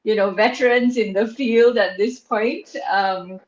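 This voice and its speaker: A woman's voice: mid-range voice, steady tone, measured pace. A woman from Malaysia talks in a friendly, giggly manner as a panelist. Her voice rings in a roomy space, and the call audio is dull and lo-fi. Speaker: HR practitioner, panelist.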